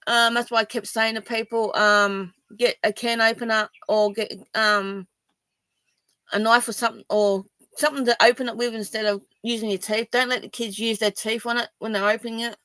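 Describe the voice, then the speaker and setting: A woman's voice: fairly high pitch, level tone, moderate pace, pushed and bright, no room sound. An Australian woman from Sydney speaking to viewers in a personal video, rambling and defensive, with many ums and restarts. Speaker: vlogger.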